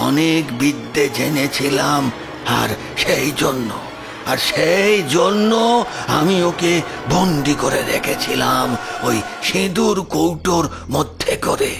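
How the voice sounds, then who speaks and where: A man playing a remorseful old village occultist in a horror audio story, halting and repeating his words in a fatherly rustic way. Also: fairly high pitch, vocal fry.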